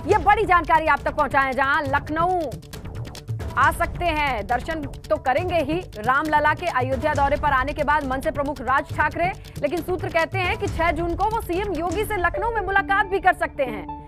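A woman's voice: high-pitched, moderate pace. A young woman anchoring live breaking news in an emphatic way, repeating key points, in a television studio. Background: faint news music.

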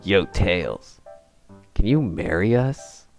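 A man's voice: sits low, animated, slow, creaky, soft background music, full character voice. A man voicing a laid-back, teasing young male hedgehog hero in a cartoon comic dub, fond and casually drawling. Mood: fond, amused. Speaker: voice actor in a fan comic dub.

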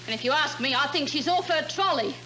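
An actress playing a plain-spoken, matter-of-fact Victorian London housemaid in a television comedy sketch. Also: female voice, high-pitched, lively intonation, a little fast. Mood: gossipy. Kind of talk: 1950s TV sketch acting. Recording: noisy vintage 1950s TV soundtrack with hum.

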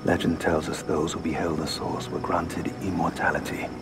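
An actor playing a solemn, middle-aged leader of an ancient people in a video game, grave and measured. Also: male voice, low-pitched, level tone, heavy background noise. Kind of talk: cinematic game acting. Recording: loud orchestral score behind.

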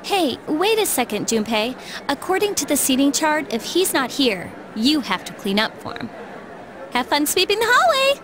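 A woman voicing a perky, teasing high school girl in a video game, casual and expressive. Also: normal speed, a crowd in the background. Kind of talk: scripted game voice acting.

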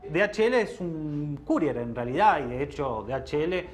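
A man answering interview questions, explaining things in a measured way with hesitant fillers. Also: on the high side, lively intonation, soft background music.

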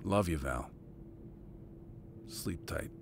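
Guy playing a low voiced calm dad, ex spook type, audio drama, rumbly noise behind him. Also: very slow, vocal fry, exaggerated voice. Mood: gentle. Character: devoted father, calm veteran vault intelligence monitor.

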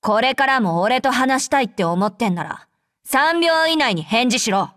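A woman voicing a brash, tsundere young female android soldier in an anime game, with rough, blunt, tomboyish speech. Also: fairly high pitch, expressive, normal speed, a bit bright, dry recording, studio quality. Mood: flustered, demanding. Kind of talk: Japanese anime game character voice lines.

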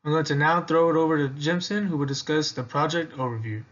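A man's voice: on the high side, quick pace, fairly bright tone. A man presenting from a script as a student presenter, recorded on a lo-fi microphone. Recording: dull, lo-fi microphone.

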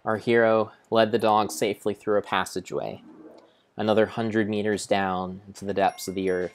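A young man with a very deep voice narrates gameplay as if reading a heroic storybook. His delivery is deliberate and halting, with mock-grand phrasing made up as he goes. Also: flat delivery. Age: young adult. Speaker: game streamer. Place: indoor room at home.

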